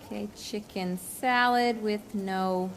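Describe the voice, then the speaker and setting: A woman's voice: mid-range voice, fairly even intonation, takes it slowly, slight background noise. A woman playing a friendly, chatty diner waitress in a video game, familiar and obliging with customers. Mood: friendly, casual. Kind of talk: naturalistic game acting.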